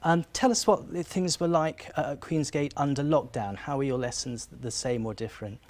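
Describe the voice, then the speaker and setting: A man's voice: fairly high pitch, normal speed, darkish tone. A man interviewing his students for a school video, friendly and conversational, with frequent small fillers.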